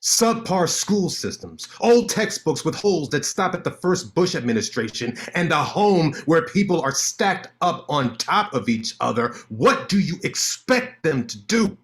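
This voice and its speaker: Man voicing a cutting sarcastic lawyer, high lively voice, stage-play reading. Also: a little slow, hardly any pauses.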